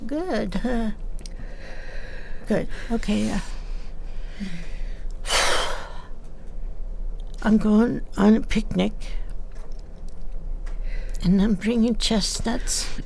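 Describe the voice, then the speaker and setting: An actress playing a flustered older seamstress in an audio drama, with a halting, repetitive, stammering way of talking. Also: female voice, on the high side, steady tone, gravelly, slight background noise, exaggerated voice. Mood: gentle, coaxing.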